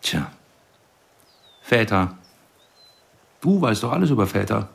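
An actor playing the ghost of a dead husband in a radio drama, laconic, wry and needling. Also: male voice, lowish voice, big pitch swings, takes it slowly, vocal fry, heavily acted. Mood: brooding, cynical.

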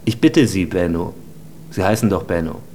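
An actor in a German radio drama playing a guarded, polite man who quietly placates. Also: sits low, expressive, normal speed, a little hiss or hum. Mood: guarded, placating.

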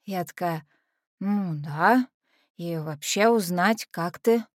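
A woman's voice: lowish voice, takes it slowly, no echo. Casting: adult woman as tough but naive lovestruck orphan teen girl, first-person dramatic monologue, colloquial street slang, confiding delivery, dry studio sound. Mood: nervous, smitten. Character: brash lovestruck teenage orphanage girl telling her story.

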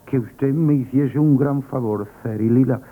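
A man's voice: medium pitch, measured pace, close to an everyday voice. A man from Spain with a Spanish accent playing a timid, hesitant, comically frightened young working-class shop boy in a radio play. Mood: nervous, pleading. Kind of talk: 1970s Spanish radio comedy theatre acting. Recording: tinny, band-limited old radio broadcast.

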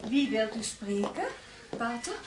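Timide, onderdanig nonnetje. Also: female voice, fairly high pitch, lively intonation, unhurried. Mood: meek, obliging. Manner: hesitant, meek. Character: timid, deferential nun answering the convent door. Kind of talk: radio play acting.

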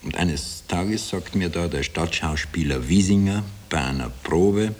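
A man's voice: low voice, a little slow, darkish tone. An actor narrating a radio drama as a wry Austrian everyman, confiding his story with self-deprecating irony. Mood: wry, resigned. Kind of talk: first-person narration in 1960 radio drama.

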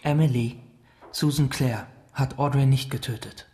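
A young actor playing a flippant, stubborn teenage brother in trouble in a radio drama. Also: male voice, mid-range voice, fairly even intonation, unhurried, darkish tone, close to an everyday voice. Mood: defiant.

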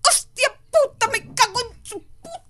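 A woman's voice: very high voice, expressive, moderate pace, pushed and bright. A woman guest chatting playfully on a comedy panel, slipping into other accents and speaking Spanish as a second language. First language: not Spanish-first, speaks a home dialect. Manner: playful, switches accents, bursts into sing-song. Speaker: guest actress. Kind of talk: casual panel chat.

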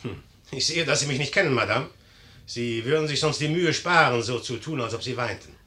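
An actor playing an imperious, impatient young general with a curt, commanding manner in a 1950s German radio play. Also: male voice, medium pitch, expressive, a little fast, sharp tone. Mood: cold, impatient.

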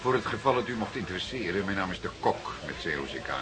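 An actor playing a seasoned, persistent police detective in a radio play, speaking deliberately and with dry formality. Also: male voice, low-pitched, very animated, crowd noise. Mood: drily menacing. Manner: deliberate, dryly formal, spells out words. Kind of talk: Dutch radio play acting.

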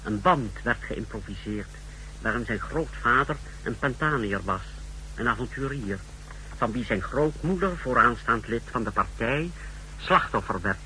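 A man's voice: mid-range voice, expressive, a little slow, pushed and bright. An actor playing a formal, precise secret service technician reporting to his superior in a 1960s radio drama. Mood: clinical, formal. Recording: noisy, humming 1960s radio recording.

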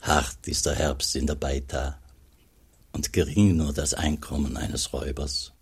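Very deep, husky old monk. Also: male voice, flat delivery, full character voice. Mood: somber, reflective. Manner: measured, solemn storytelling. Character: aging pious monk recalling his poor mountain boyhood. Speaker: narrator. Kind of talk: scripted literary radio drama narration.

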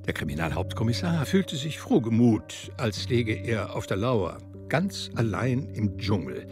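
A man narrating a literary drama, reading scripted prose in a deliberate, expressive storytelling way. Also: lowish voice, music in the background.

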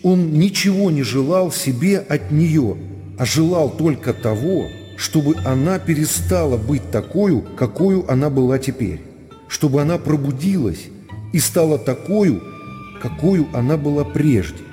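Male narrator, dark mellow timbre, dramatic literary reading, music behind. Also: fairly high pitch, lively intonation, a little slow, long reverb tail.

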